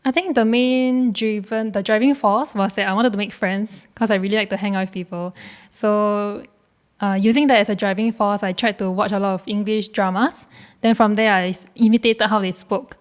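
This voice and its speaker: Korean-born woman in her 20s, native korean speaker, gives short plain answers and laughs a bit, mid pitch. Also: fairly even intonation, normal speed. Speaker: guest, university student. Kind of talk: casual interview answers.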